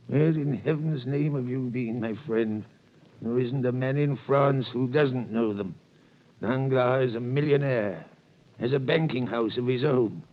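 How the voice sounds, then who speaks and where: An actor playing a wary, gossipy tailor with a probing, exclamatory manner in a 1930s radio drama. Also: male voice, medium pitch. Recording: thin, phone-like old radio recording.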